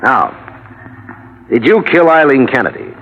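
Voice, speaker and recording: An actor playing a formal courtroom examiner in an old-time radio drama, questioning in a deliberate way. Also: male voice, medium pitch, huge pitch swings, gravelly, exaggerated voice. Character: formal male examiner questioning the accused on the stand. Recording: dull vintage radio recording.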